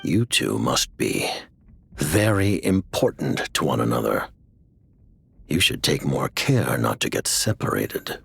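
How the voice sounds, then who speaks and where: An actor playing a mysterious older commander in a video game, grave, formal and measured, with a very deep gravelly voice. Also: male voice, sing-song, fairly bright tone, full character voice. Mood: calm, grave. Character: mysterious, commanding older male director of a sinister order. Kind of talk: dramatic video game cutscene acting.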